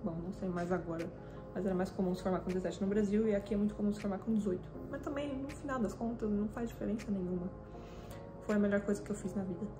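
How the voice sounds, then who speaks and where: A woman in her twenties from Brazil, with a Brazilian accent, telling her own story to camera in a casual, animated way. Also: mid-range voice, quick pace, music in the background. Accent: Brazilian Portuguese. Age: 20s. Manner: chatty and animated, with frequent hand gestures. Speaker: fitness vlogger. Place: small indoor room with a desk and lamp.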